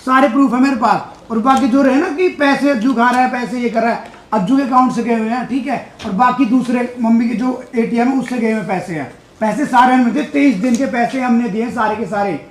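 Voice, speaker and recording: Very high-pitched man, echoey room. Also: brisk, slight background noise. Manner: defensive, repetitive. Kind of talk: unscripted rebuttal.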